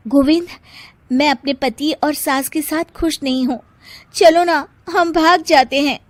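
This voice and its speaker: A woman's voice: high voice, fairly even intonation, measured pace, no room sound. A woman voicing a young, quarrelsome and deceitful daughter-in-law in a cartoon story, with emphatic, dramatic delivery. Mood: pleading. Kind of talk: Hindi cartoon story voice acting.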